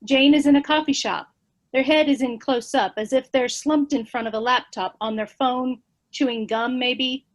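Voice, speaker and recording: A woman reads stage directions in a measured, even way, her voice a little high. The delivery is clear and steady.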